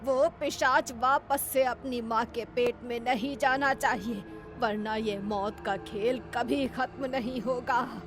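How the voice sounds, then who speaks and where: Woman acting an urgent old woman, higher-pitched. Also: steady tone, takes it slowly, over music. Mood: urgent warning. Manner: urgent, commanding. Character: stern old village woman shouting a dire warning. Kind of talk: horror story acting.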